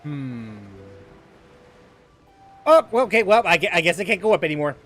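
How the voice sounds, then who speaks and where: A man's voice: high-pitched, sing-song, normal speed, fairly bright tone, soft background music. A man streaming a game live, thinking aloud as he plays and answering viewers' questions in a loose, chatty way. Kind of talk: live gameplay commentary.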